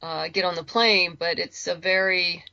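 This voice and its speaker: A woman's voice: fairly low pitch, steady tone, normal speed, gravelly, bright, forceful tone, dry recording, lo-fi sound. A woman chatting casually as a podcast guest, talking in a rambling, conversational way and repeating words for emphasis. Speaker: guest, comics and audio drama creator.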